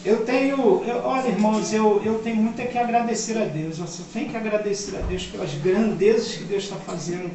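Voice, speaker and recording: A man's voice: high-pitched, steady tone, a little fast, hardly any pauses, distant mic, a crowd in the background. A fifty-something male pastor preaches in a church, his voice ringing through a very echoey hall from some way off the mic. A congregation murmurs along behind him. His delivery is impassioned and rises in force on key points. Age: 50s. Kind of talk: sermon. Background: congregation responding.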